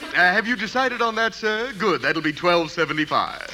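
A noisy vintage radio recording of a harried store clerk. He is brusque and busy, with a lively, fairly high voice in 1940s comedy style. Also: moderate pace.

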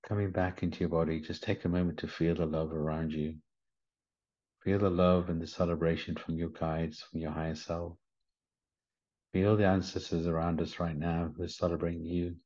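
A man leading a guided meditation in a gentle, soothing manner, with short unhurried instructions. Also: low-pitched, flat delivery. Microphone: video call. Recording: slightly muffled video call audio.

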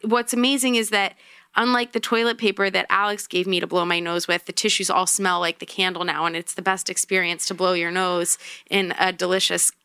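A woman's voice: fairly low pitch, flat delivery, fairly quick, bright, forceful tone, clean tone, dry recording, studio quality. A woman co-hosting a casual chat podcast, throaty from a cold, chatty and enthusiastic. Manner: chatty, enthusiastic, laughs often. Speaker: podcast co-host.

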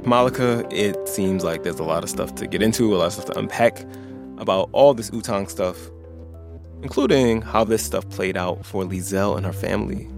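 Gravelly low-voiced male co-host. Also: normal speed, music in the background. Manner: relaxed, curious, casual swearing. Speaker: podcast co-host. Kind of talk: casual conversational chat.

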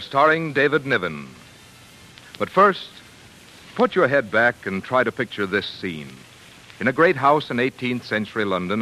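A man working as a 1940s radio announcer, reading scripted advertising narration in a polished, measured way. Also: mid-range voice, pushed and bright, slight background noise, rough recording.

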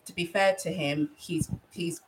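A woman in a casual group podcast discussion who lays out a point in a measured, explanatory way. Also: on the low side, fairly even intonation, noisy background.

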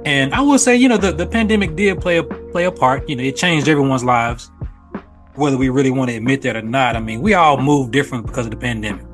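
An American man talking casually and thoughtfully on a podcast, explaining himself at length in measured phrases. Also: mid-range voice, faint music behind. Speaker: podcast co-host appearing as guest. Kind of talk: casual reflective podcast conversation.

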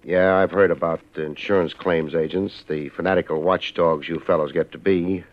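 A man's voice: sits low, steady tone, normal speed, natural voice. A man playing a wry, laid-back, hard-boiled private investigator in a 1950s radio detective drama, talking in clipped, sardonic slang. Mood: wry, brisk. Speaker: lead actor and narrator of a detective series. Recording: muffled vintage 1950s radio recording with music.

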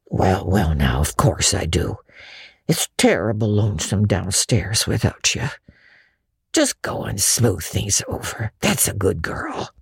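Storybook older countryman, acted by a woman: deep, breathy, bashful, kindly, haltingly coaxing. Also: sing-song, no room sound, clean studio sound, full character voice. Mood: tender. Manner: hesitant, hushed, repeats himself. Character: shy, kindly older farm man, gently coaxing.

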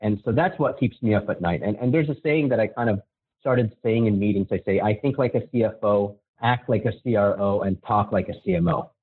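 A man from the Philippines, a marketing executive, answering interview questions in a relaxed, friendly way, often restarting his sentences. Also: on the low side, fairly quick, slightly rough. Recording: tinny phone-line sound.

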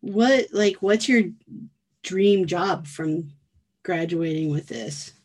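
Female podcast host, warm and mellow, friendly and encouraging with guests. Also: fairly low pitch, slow. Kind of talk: podcast interview.

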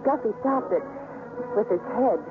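A woman's voice: on the high side, measured pace, natural voice. An actress playing a caring young woman who narrates her own story in a 1950s radio drama. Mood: earnest storytelling. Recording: muffled 1950s radio recording, music behind.

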